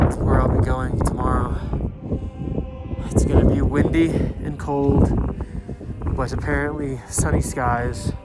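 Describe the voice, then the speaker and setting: A tired young man speaks slowly into a handheld camera on a windy mountainside, blunt and sweary, pushing through exhaustion. Music plays loudly underneath his voice. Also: mid-range voice, poor audio. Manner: blunt, swearing, self-motivating. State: exhausted and worn down. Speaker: mountaineering vlogger. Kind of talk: personal vlog narration. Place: outdoors on a high mountain, wind. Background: background music.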